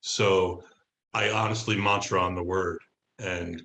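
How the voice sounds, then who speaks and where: A man as a podcast guest, talking casually and reflectively, halting as he searches for the right words. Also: sits low, steady tone, pushed and bright. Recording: echoey room.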